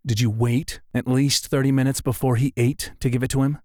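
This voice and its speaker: A man's voice: medium pitch, little pitch movement, brisk, dark tone, no echo, clean studio sound, lightly acted. A man voicing an angry, guarded, tormented man in an audiobook drama, curt and foul-mouthed. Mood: terse, guarded. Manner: curt, profane.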